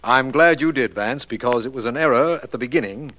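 An actor playing a slightly baffled, easily impressed American district attorney in 1940s radio style, formal, measured and inquisitive. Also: male voice, medium pitch, fairly bright tone, natural voice, rough recording. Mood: inquisitive, satisfied. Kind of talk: 1940s radio drama acting.

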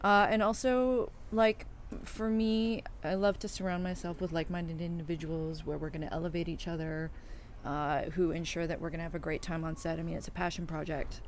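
A woman from Canada, a film director, talking calmly in a casual interview and telling her story in long, winding sentences. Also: fairly low pitch, a little fast, slight background noise. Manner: calm, reflective, talks in long winding sentences.

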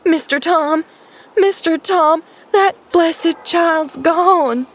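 A woman's voice: very high voice, drawn-out words, pitched above the performer's own voice, heavily acted. A woman voicing a fretful, loyal young serving maid in an audiobook, with exclamatory, ungrammatical rustic speech. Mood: panicked wailing. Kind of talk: audiobook character voice.

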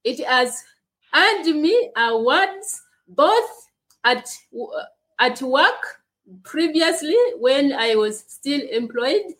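A woman from Uganda, answering interview questions in slow, halting speech with long pauses. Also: sits high, expressive, fairly bright tone, poor audio. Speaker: guest, leadership coach and speaker.

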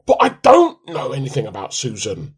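A man's voice: medium pitch, wildly swooping pitch, normal speed, husky, full character voice. A man playing a put-upon, dryly sarcastic middle-aged husband in a radio play. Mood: baffled.

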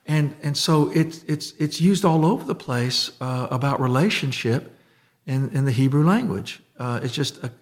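A man in easy podcast conversation, mid-pitched and unhurried. He talks in loose, rambling bursts, restarting his sentences and letting them fade out quietly. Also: animated. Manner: rambling, restarts, trails off softly. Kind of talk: casual conversational chat.

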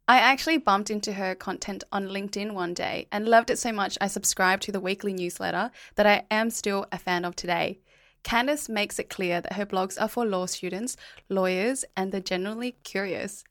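A woman's voice: mid-range voice, fairly bright tone. A woman hosting a podcast, presenting and asking questions in a conversational interview style. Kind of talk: scripted podcast intro, then casual interview.

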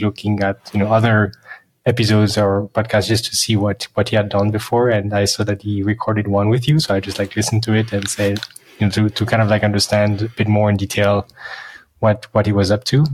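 A man with a French accent chatting casually as a podcast co-host, in a loose, conversational way. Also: lowish voice. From France.

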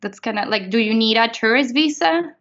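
A woman's voice: a little high, expressive, fairly quick, fairly bright tone. Rambling woman interviewer, lo-fi. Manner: rambling questions with many likes and restarts. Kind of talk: casual interview questions. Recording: dull lo-fi sound.

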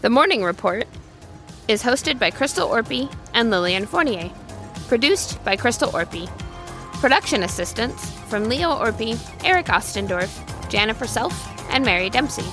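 A woman's voice: on the high side, expressive, moderate pace, fairly bright tone. A woman reading scripted podcast credits as an announcer. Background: music under the voice.

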